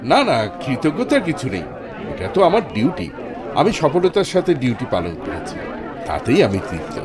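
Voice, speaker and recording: An actor playing a formal, dutiful and reassuring hospital doctor in a Bengali radio drama. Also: male voice, medium pitch, wildly swooping pitch, moderate pace, a crowd in the background. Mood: courteous, reassuring.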